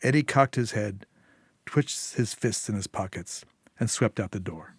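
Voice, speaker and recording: A man's voice: on the low side, a little slow, husky, no room sound, clean studio sound. A man narrating a literary short story as its author. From United States.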